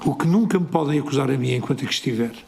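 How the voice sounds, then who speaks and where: An older man, the mayor, giving a measured, deliberate formal reply in a municipal assembly. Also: medium pitch, vocal fry, a little mellow, soft background music.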